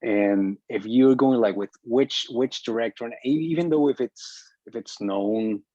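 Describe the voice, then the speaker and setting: A man speaking as a filmmaker in a casual group discussion, opinionated and halting, often repeating his words as he argues a point. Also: medium pitch, dark tone, dry recording, dull audio.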